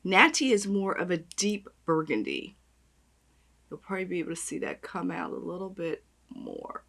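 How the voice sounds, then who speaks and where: A woman with a raspy voice, chatting and gushing as she does her makeup on camera. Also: lowish voice, sing-song, normal speed, bright, forceful tone. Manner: gushing, chatty, talks while applying makeup. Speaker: beauty vlogger. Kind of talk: makeup tutorial chat.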